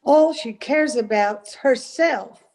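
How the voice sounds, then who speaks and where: An actress playing a sharp-tongued, protective Old West woman in a radio western, blunt and emphatic in manner. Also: high-pitched, takes it slowly, a little hiss or hum, poor audio. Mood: skeptical, tart.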